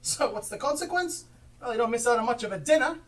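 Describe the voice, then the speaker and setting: A woman on stage playing a cheerful, forgiving young gentleman in a live amateur play, picked up by a distant mic. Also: on the high side, expressive, fast talker, a crowd in the background, natural voice. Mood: good-natured.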